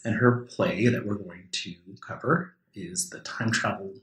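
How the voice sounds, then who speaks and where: A man's voice: deep voice, unhurried, background noise. A man hosting a casual interview podcast, feeling out his phrasing as he talks. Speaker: podcast host. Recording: roomy, echoing sound.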